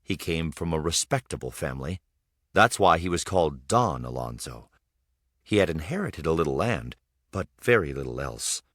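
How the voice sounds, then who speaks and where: A man reading a children's story aloud as scripted narration, with expressive, dramatic storytelling emphasis. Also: very deep voice, normal speed, dry recording, studio quality. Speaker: storybook reader.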